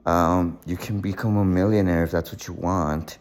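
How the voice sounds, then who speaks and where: Deep-voiced young guy rambling thru his life story, lots of uhs and likes, trips over his words. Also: steady tone, a little mellow. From United States. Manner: halting, many fillers and stammered repeats. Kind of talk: personal story, casual monologue.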